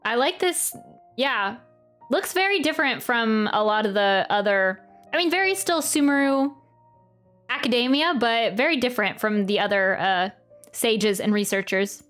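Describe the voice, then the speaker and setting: Female game streamer, fairly high voice, casual off-the-cuff reactions over faint game music. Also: animated, moderate pace, fairly bright tone. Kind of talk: casual gameplay reaction and commentary.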